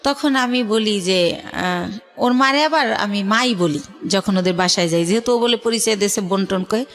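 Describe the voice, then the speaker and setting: A woman's voice: mid-range voice, moderate pace, fairly bright tone. A middle-aged woman with a colloquial Bangladeshi accent tells a personal story in a radio interview, conversationally, voicing reported dialogue as she goes. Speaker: guest telling her life story.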